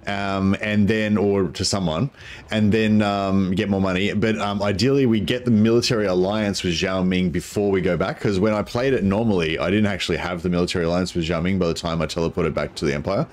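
A man, a croaky voice and a headset, chatting casually while streaming a strategy game, rambling with many ums. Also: low-pitched, steady tone, brisk. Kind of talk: casual gameplay commentary.

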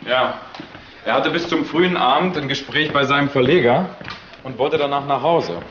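A man's voice: medium pitch, normal speed, fairly bright tone, lightly acted. An actor playing a businesslike male police officer giving a report in a German crime radio drama. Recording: echoey, dull sound with faint noise.

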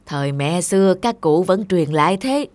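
A woman's voice: lowish voice, animated, moderate pace, a little mellow, no room sound, lightly acted. A woman playing a doting, frugal elderly village grandmother in a cartoon, homely and apologetic. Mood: homely, gentle. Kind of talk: cartoon dialogue.

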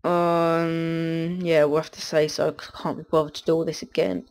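A man's voice: a little high, little pitch movement, moderate pace, creaky, darkish tone, smooth. Sniffly young guy rambling over gameplay. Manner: rambling, self-interrupting, distracted. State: stuffy with a cold. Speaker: gaming commentator. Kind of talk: casual gameplay commentary.

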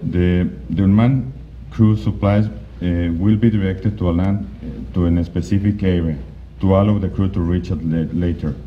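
Man, very low voice, halting conference presenter with many restarts; dull lo-fi recording, faint hiss. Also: steady tone. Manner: halting, with frequent restarts and fillers. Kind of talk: slide presentation talk. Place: conference hall.

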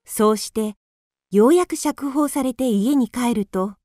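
A woman narrating a children's radio drama in a calm, even storytelling manner. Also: fairly high pitch, a little slow, dry recording, studio quality.

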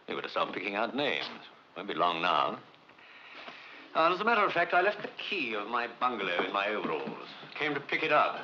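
A man's voice: on the low side, wildly swooping pitch, fairly quick. A man playing a breezy, teasing young man in 1950s film drama dialogue. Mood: breezy, casual. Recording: muffled, noisy old film soundtrack.

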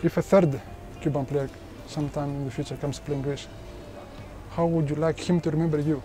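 A man interviewing a basketball player, asking long questions with frequent hesitant pauses. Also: on the high side, fairly even intonation, mellow, faint music behind. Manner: hesitant, many filler pauses.

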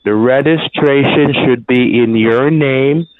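A man on a phone call delivering a formal, scripted prize pitch in an overly reassuring manner. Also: takes it slowly, no room sound. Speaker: phone scammer. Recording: tinny phone line.

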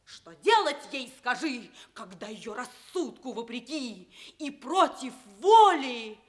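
A woman's voice: high-pitched, expressive, takes it slowly. An actress playing a scheming, passionate noblewoman in a fairy-tale radio drama, declaiming theatrically. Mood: indignant, pleading. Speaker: stage actress.

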